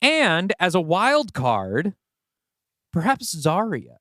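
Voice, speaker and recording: A man's voice: high-pitched, huge pitch swings, takes it slowly, a bit bright, no echo, clean studio sound. A man co-hosting a podcast, talking through story plans in a casual, explanatory, conversational way. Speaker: podcast co-host. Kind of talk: casual story-planning discussion.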